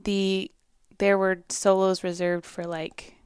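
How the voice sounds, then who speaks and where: A woman with a soft voice speaking as a musician guest in a casual interview, hesitant and full of fillers and restarts. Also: mid-range voice, little pitch movement, slow pace, smooth, no room sound, clean studio sound.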